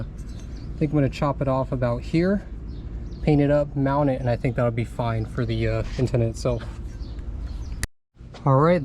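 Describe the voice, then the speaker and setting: A young man talks his viewers through a truck radio install in a relaxed, chatty way, often pausing on "uh". His voice sits at an ordinary middle pitch and is picked up by a camera mic that drifts nearer and farther. Faint background noise sits under it. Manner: relaxed and chatty, many "uh" pauses. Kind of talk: casual how-to vlog narration.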